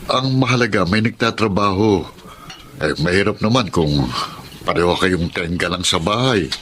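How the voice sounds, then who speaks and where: Male best-mate role, Filipino accent, lowish voice, joshing and laid-back, radio drama with slight background noise. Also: animated, moderate pace, fairly bright tone. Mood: consoling. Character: easygoing, teasing male drinking buddy. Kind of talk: Tagalog radio drama acting.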